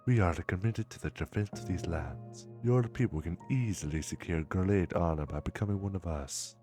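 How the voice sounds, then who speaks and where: Male actor as scheming deferential envoy, very deep, formal and obsequious, fan-dub drama. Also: moderate pace, music in the background, natural voice. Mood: persuasive. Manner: servile, formal, smooth-talking.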